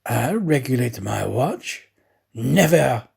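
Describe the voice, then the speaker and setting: A man voicing a talkative, excitable, naive French manservant in a storybook, chatty and emphatic. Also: medium pitch, slow pace, gravelly, breathy edge, full character voice. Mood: indignant.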